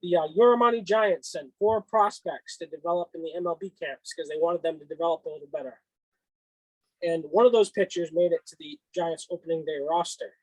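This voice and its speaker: A man's voice: on the high side, fairly quick, a little mellow, dry recording, rough recording. A man with a Boston accent chatting casually about sports as co-host of a hobby show. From Boston, northeastern US. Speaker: co-host of a cap and card show.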